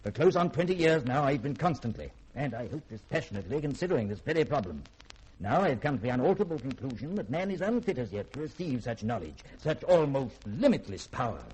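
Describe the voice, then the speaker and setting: An imperious middle-aged scientist in a 1950s radio drama. His voice is husky and medium in pitch, and he speaks in haughty, deliberate, formal sentences, as one who keeps secrets. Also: sing-song, darkish tone, rough recording. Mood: indignant, haughty.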